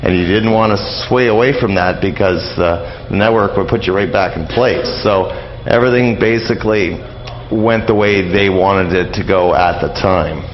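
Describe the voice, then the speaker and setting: A man's voice: low-pitched, moderate pace, a little hiss or hum. A man speaking as a guest in a casual radio interview, explaining things in an informal, rambling way. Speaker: guest interviewee, old-time radio enthusiast. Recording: dull lo-fi radio audio, crowd murmur.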